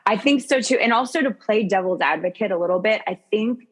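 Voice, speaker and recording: A woman's voice: medium pitch, brisk. A woman coach speaking as a guest in a casual conversation, informally thinking aloud.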